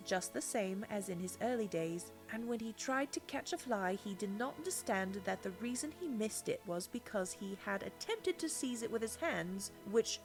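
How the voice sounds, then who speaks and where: A woman narrating a children's storybook in an expressive, scripted storytelling delivery. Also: fairly high pitch. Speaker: audiobook reader.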